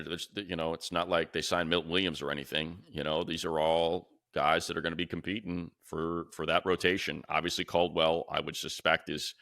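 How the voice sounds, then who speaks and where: A man co-hosting a sports talk show, giving casual analysis and thinking out loud as he runs through lists of names. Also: sits low, dry recording, studio quality.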